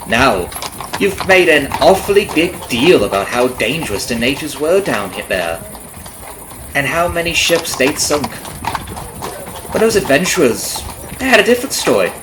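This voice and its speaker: A man's voice: mid-range voice, big pitch swings, normal speed, background noise, natural voice. A man playing a vengeful carriage driver in an ASMR roleplay, taunting and conversational, with a friendly surface over cold menace. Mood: knowing, mocking. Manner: taunting, conversational with rhetorical tag questions. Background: clip-clopping horse and carriage ambience.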